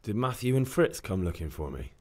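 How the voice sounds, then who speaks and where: An actor playing a young medieval peasant lad in a video game, casual and a little defensive. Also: male voice, fairly low pitch, sing-song, brisk. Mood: defensive, wry. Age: young adult. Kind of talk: dramatic video game dialogue.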